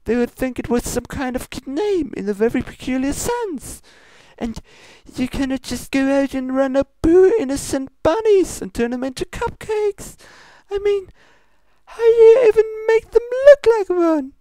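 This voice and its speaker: A stern royal pony princess, as acted out by a fan fiction reader, handing down formal reproaches. The pitch sits raised and swings widely. The sound is close and dry. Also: lively intonation, moderate pace, mellow, no room sound. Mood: indignant. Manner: pompous, formal, scolding. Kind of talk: fan fiction comedy reading.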